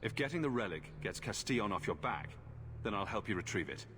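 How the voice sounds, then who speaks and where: Wry, animated adventurer hero. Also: male voice, lowish voice, fairly quick, a little hiss or hum. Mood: curious. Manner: wry, questioning. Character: dry-witted male adventurer hero, helpful but bold. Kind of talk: video game cutscene acting.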